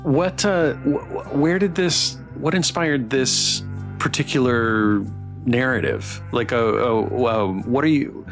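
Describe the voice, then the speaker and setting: Streamer guy doing a chill interview, kinda halting questions, bit of guitar music behind him. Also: mid-range voice. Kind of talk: casual livestream interview.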